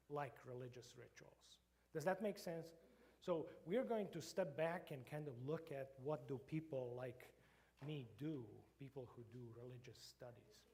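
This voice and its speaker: A man's voice: a little high, a little mellow. A middle-aged man from Croatia with a Croatian accent, a professor giving an informal lecture to a church group, hesitant with many fillers and restarts. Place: church meeting room.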